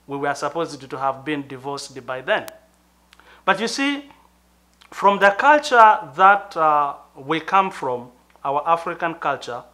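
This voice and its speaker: A middle-aged Tanzanian man with a Tanzanian accent speaks as a pastor, earnest and measured, pausing to think. His voice sits a little high for a man and has a bright, forceful edge. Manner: earnest, measured, with thoughtful pauses and fillers. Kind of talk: sermon-like talk to camera.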